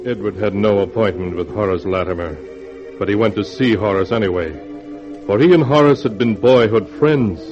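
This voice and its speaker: Low-voiced male 1940s radio narrator. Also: normal speed. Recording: dull vintage radio recording with music.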